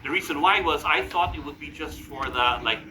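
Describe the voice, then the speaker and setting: A man raised in the Philippines with a Filipino accent, telling stories to a live audience at a fan Q&A, animated and laughing as he acts out quoted lines. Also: a little high, brisk. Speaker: TV show judge at fan Q&A. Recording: echoey, distant, with background noise.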